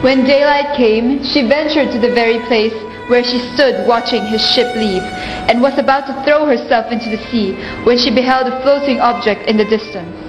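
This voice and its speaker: A woman's voice: a little high, normal speed, lightly acted. A woman narrating a myth in a scripted storybook style, as the narrator of a radio play. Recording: muffled, echoing, music behind.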